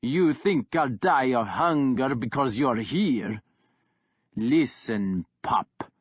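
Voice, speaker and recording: A man voicing a scornful older male warlord who taunts slowly and snarls. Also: medium pitch, no room sound, highs cut off. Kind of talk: audiobook character voice.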